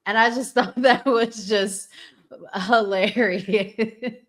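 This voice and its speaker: A woman co-hosting a casual chat, agreeable in manner, speaking in hesitant phrases with pauses. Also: fairly high pitch, steady tone. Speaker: podcast co-host.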